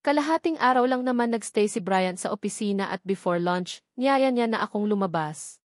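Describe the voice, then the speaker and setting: A woman's voice: mid-range voice, animated, normal speed, dry recording, clean studio sound. A woman with a Filipino accent reading a first-person romance novel as a scripted audiobook narration.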